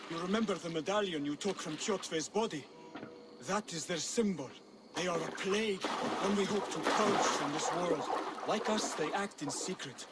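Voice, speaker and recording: A man plays a young male assassin mentor in a video game cutscene. His voice sits high for a man, earnest and measured, with formal, instructive phrasing. Clear outdoor noise sits behind the words. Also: lightly acted.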